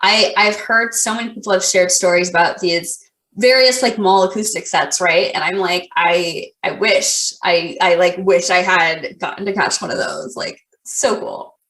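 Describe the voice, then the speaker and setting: A woman's voice: fairly low pitch, moderate pace, a bit bright, roomy sound, poor audio. A woman hosting a casual podcast interview, friendly and rambling, full of fillers and restarts. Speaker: podcast host.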